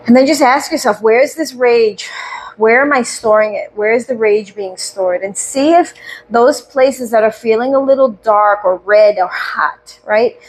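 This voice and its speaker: A woman leading a guided breathing meditation, speaking slowly and soothingly with gentle questions and audible sighs. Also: fairly high pitch, low-quality recording.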